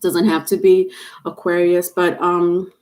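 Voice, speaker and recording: A woman's voice: lowish voice, little pitch movement, unhurried, a little mellow. Stuffy-nosed woman giving a tarot reading. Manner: rambling, repeats and restarts, many fillers. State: stuffed-up nose. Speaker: tarot reader. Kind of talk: live tarot reading to viewers. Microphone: webcam or phone on camera.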